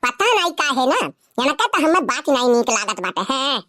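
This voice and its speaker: A cheeky cartoon villager with a piercingly high, bright voice and an Awadhi accent. The character argues, scolds and exclaims in village comedy style. The sound is close and dry. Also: sing-song, normal speed, dry recording, exaggerated voice. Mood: grumbling.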